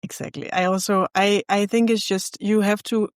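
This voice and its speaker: A podcast host with a Danish accent talks casually in an interview, stopping often with fillers as they hunt for words. Their voice sits at a middle pitch. The recording is dry and close. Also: little pitch movement, moderate pace, smooth, no echo. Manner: hesitant, many fillers like "like" and "um".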